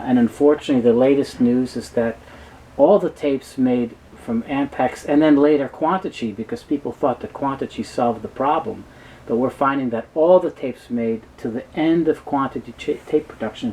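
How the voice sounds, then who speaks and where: A man giving a halting, explanatory technical talk as an expert guest in an interview. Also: mid-range voice, darkish tone, a little hiss or hum, low-quality recording. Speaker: guest expert on reel-to-reel tape.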